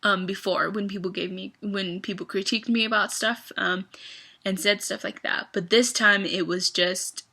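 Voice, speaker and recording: A woman's voice: medium pitch, steady tone, normal speed, gravelly, pushed and bright. A young woman in a casual, confessional vlog, talking in a rambling, hesitant way with many fillers. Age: young adult. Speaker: vlogger.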